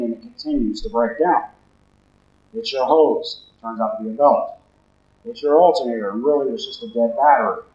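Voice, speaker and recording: A man, a deacon preaching a sermon in a church in a low, conversational way and putting questions to the congregation. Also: a little mellow. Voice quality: deep voice. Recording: roomy, slightly dull church recording.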